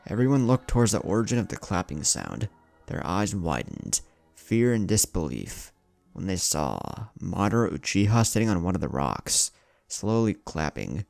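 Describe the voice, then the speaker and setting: Low, gravelly man narrating a dramatic story. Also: sing-song, moderate pace, darkish tone, no room sound, soft background music. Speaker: fan fiction narrator.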